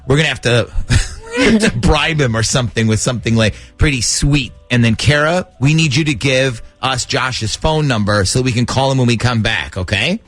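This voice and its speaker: A man's voice: medium pitch, animated, normal speed, fairly bright tone, soft background music. A man hosting a morning radio show, chatty and jokey as he banters and sets up prank calls.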